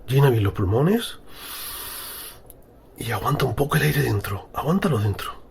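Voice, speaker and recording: A man's voice: medium pitch, very animated, normal speed, gravelly. A man playing a demanding but concerned sports coach in an audio drama. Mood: concerned, steadying. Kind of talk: acted audio drama dialogue. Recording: noticeable background noise.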